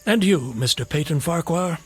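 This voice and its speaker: An actor playing a mysterious, polite traveler, formal and measured, in a radio drama. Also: male voice, on the high side, animated, slightly rough, soft background music. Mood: calm, knowing.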